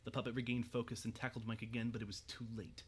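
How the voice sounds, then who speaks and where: A man narrating a scripted dramatic story in a measured, suspenseful way. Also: mid-range voice, flat delivery.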